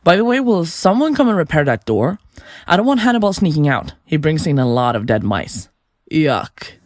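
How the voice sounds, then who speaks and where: A woman's voice: sits low, big pitch swings, moderate pace, darkish tone, no echo, clean studio sound. A woman playing a chirpy, self-absorbed podcaster and remorseless killer in an audio drama, chatty and flippant. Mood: feigned innocence. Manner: blithe, chatty, flippant, theatrical. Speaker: suspect under interrogation.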